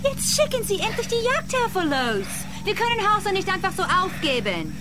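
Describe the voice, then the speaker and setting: An actress playing a flirtatious, capricious, mocking celebrity singer in a radio drama. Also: female voice, extremely high pitch, sing-song, normal speed, background noise. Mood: urgent, indignant. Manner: theatrical, mocking. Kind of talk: theatrical radio drama acting.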